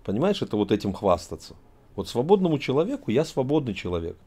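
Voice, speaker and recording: A man's voice: medium pitch, sing-song, quick pace, dark tone, slightly rough. A middle-aged man from Tajikistan hosting a heated video-chat argument, lecturing and emphatic, talking over a video call from an indoor room. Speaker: host.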